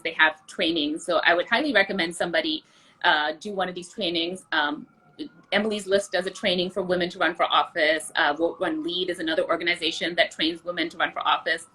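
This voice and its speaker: Fast-talking woman with a bright, husky voice. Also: lowish voice, steady tone, poor audio. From born outside the US. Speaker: interview guest, political candidate. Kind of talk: conversational interview answers.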